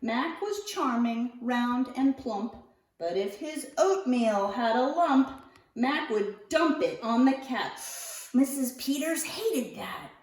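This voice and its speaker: A woman reading a picture book aloud to young children, expressively, with deliberate phrasing and drawn-out key words. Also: on the high side, a little mellow. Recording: roomy echo, distant mic.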